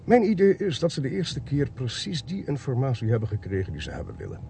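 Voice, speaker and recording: Sly wry investigator, gravelly, wildly varied intonation, Netherlands Dutch accent, radio play, slight background noise. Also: male voice, medium pitch, wildly swooping pitch, fast talker, mellow. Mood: sarcastic. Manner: wry, sarcastic. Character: sly, self-assured senior investigator, suspicious-minded.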